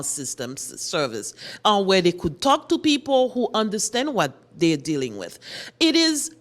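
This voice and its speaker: A woman's voice: medium pitch, fairly bright tone. A middle-aged woman from Haiti, a nonprofit director, explaining her work earnestly in a morning show interview. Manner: earnest, explanatory, with frequent restarts. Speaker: guest, nonprofit executive director. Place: TV studio.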